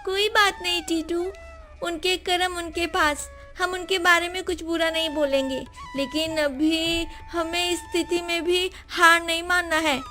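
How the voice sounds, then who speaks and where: A woman playing a brave, caring poor orphan girl in an animated moral story, earnest and hopeful. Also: very high voice, a little slow, voice pushed up, full character voice. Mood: reassuring, determined. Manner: earnest, reassuring. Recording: music behind the voice.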